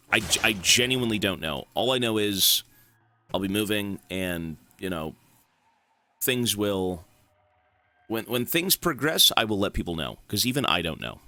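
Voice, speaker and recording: Bright-voiced man chatting on stream. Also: on the low side, sing-song, normal speed. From Canada. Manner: rambling, swears, answers chat. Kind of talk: casual stream chat while gaming.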